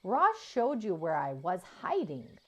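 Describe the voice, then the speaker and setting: A woman's voice: lowish voice, huge pitch swings, takes it slowly, a bit bright, slightly rough, muffled recording, heavily acted. A woman voicing a mischievous, bossy young girl in a children's storybook. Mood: whiny, scolding. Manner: whispering, whining, scolding by turns.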